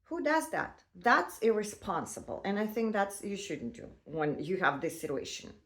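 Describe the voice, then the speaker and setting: A woman in her fifties telling her own story in a casual, halting way, with many fillers and non-native phrasing. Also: medium pitch, very animated, a bit bright. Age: 50s. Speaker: vlogger.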